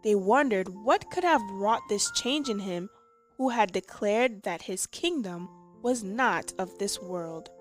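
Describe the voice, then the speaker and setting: A woman reading a scripted devotional with deliberate, careful phrasing. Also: mid-range voice, lively intonation. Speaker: devotional reader. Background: background music.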